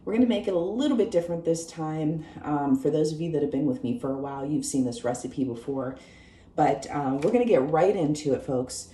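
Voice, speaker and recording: A low-pitched, hoarse woman talks viewers through a recipe in a friendly, chatty way. Her voice sounds mellow and worn. The echoey kitchen carries a faint hiss behind her. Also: a little fast. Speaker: cooking show host. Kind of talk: casual cooking demonstration. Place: home kitchen.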